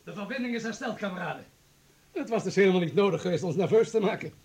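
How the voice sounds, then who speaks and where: A hard, anxious party comrade in a radio drama. His voice sits high and husky, and he argues in formal, emphatic phrases, insistent and self-justifying. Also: lively intonation, quick pace, darkish tone, exaggerated voice. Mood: relieved. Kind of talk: radio play acting.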